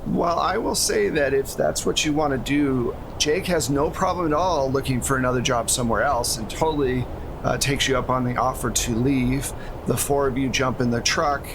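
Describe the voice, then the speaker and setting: A man's voice: normal speed, creaky. A man running a tabletop game as game master, narrating casually and explaining things conversationally. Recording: steady low rumble and hum behind voice.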